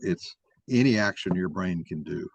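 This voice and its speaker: A man's voice: deep voice, expressive, moderate pace, creaky, breathy edge, no echo. A man giving an explanatory talk in an opinionated, didactic way, taking questions as he presents his argument.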